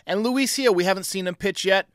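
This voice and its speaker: Male sports talk host, thirties, forceful and clean-voiced, close on the mic in a studio. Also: high-pitched, fairly quick, smooth, no room sound. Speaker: host of a sports fan show. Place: small home studio, indoors. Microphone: close studio mic on boom arm.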